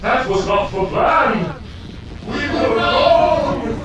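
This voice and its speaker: An ancient collective spirit, grave and otherworldly. It intones very slowly in a high voice drenched in echo, as in a fantasy radio play. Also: male voice, lively intonation, exaggerated voice. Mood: dismayed. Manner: drawn-out, portentous. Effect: booming echo effect. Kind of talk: audio drama acting. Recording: heavy echo, distant, noisy.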